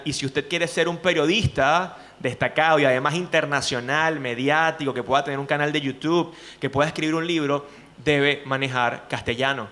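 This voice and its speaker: Venezuelan male guest speaker; higher-pitched, bright, animated, keeps asking the room questions. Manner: animated, asks frequent rhetorical questions. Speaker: journalist and speaking coach, guest. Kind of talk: lively talk to students.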